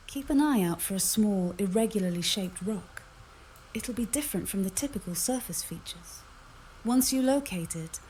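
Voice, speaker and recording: A woman's voice: mid-range voice, warm tone. A synthetic woman's voice as an AI copilot assistant, giving neat instructional guidance. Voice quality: synthetic text-to-speech voice. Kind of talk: instructional, scripted-sounding guidance. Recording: clear background noise.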